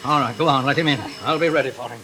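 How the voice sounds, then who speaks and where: A man's voice: medium pitch, racing, close to an everyday voice. A man playing a menacing, suspicious intruder in a 1940s radio thriller, threatening and accusing in his delivery. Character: menacing, suspicious male intruder holding a household hostage. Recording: hissy old radio recording with rain.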